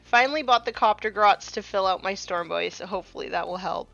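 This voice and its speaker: A woman's voice: mid-range voice, fairly quick, creaky, a bit bright, lo-fi sound, faint music behind. A woman in her thirties chatting casually while painting miniatures on a live stream, with many fillers, sometimes trailing off to a murmur.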